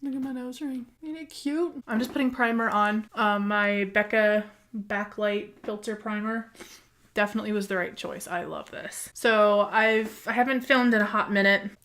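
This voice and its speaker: A woman beauty vlogger, hoarse from a cold, chatting casually and rambling with many ums while she does her makeup at home. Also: medium pitch, steady tone, normal speed, a bit bright. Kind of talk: casual makeup tutorial chat.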